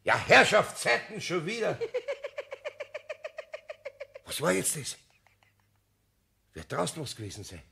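A man playing a skeptical, easily flustered wood turner in a children's radio play, gruff, exclamatory and with a Bavarian accent. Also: on the high side, huge pitch swings, takes it slowly, long pauses, sharp tone, exaggerated voice. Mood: irritated, puzzled.

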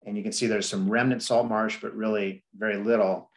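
A middle-aged man with a lowish voice walks through slides on a video call from an indoor office. He explains at a measured pace and fills his pauses with "um" and "you know". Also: little pitch movement. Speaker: district staff presenter. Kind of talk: slide presentation at a public meeting.

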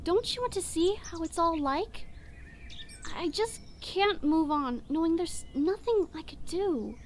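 A woman voicing a gentle, determined human child in a comic dub, earnest and pleading. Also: extremely high pitch, expressive, unhurried, slight background noise. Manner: soft-hearted, earnest, pleading.

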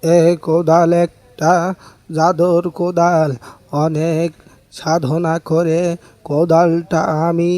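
A man playing a kindly, secretive village uncle in a cartoon fairy tale, speaking in a measured, instructive way. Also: on the high side, completely flat delivery, a little mellow, dry recording. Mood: earnest, cautioning. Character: kindly village uncle, secretive, wise keeper of a magic spade. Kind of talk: animated fairy-tale dialogue.

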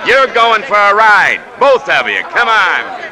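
A gruff policeman in a 1940s radio drama, exasperated and bossy. The voice moves in lively swings, with a crowd around him. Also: high voice, measured pace, full character voice. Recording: old radio broadcast with crowd noise.

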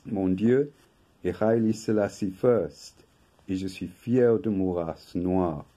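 An older man, native English speaker from England, reading a prepared talk from paper in a slow, measured way at home. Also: sits low, dark tone. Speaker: video host. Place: home room with bookshelf.

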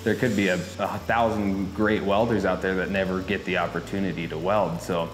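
A young man in his twenties, a welding shop owner, talking in a relaxed, easygoing documentary interview. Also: deep voice, normal speed. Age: 20s. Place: indoor fabrication shop office. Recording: roomy shop echo, music under.

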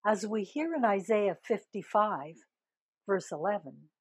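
An older woman minister giving a sermon, speaking slowly and deliberately and stressing key words. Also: medium pitch, big pitch swings, a little mellow, husky, dry recording, clean studio sound. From Canada. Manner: slow, deliberate preaching with emphatic rises on key words.

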